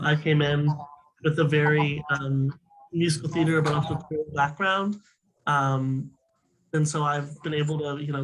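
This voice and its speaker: A young adult man, a music student, talking on a panel, upbeat and enthusiastic, hoarse and raspy because he is losing his voice. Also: on the high side, a bit bright, a little hiss or hum, poor audio. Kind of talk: panel discussion.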